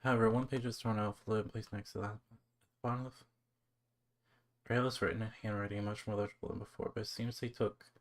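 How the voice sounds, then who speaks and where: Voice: medium pitch, flat delivery, fast talker. A game streamer giving casual live commentary and reading text aloud, mumbling and trailing off at times.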